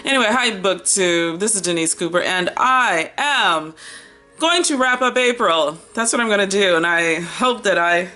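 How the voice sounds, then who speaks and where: An American woman in her fifties talking casually as a book vlogger, chatty and animated, wandering off on tangents and drawing out words for emphasis. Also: mid-range voice, normal speed, soft background music. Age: 50s. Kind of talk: casual rambling chat to camera.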